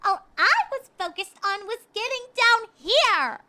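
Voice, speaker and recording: A woman's voice: extremely high pitch, animated, measured pace, pushed and bright, pitched above the performer's own voice, heavily acted. A woman voicing a doubtful, fretful young filly pony in a story reading. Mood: doubtful, grumbling.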